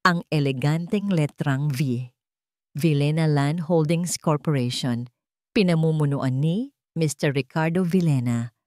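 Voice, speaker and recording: A woman narrates a scripted drama in a measured, dramatic way. Her voice sits a little low, and it is recorded dry and close with no room sound. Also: darkish tone, no echo. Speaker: storyteller.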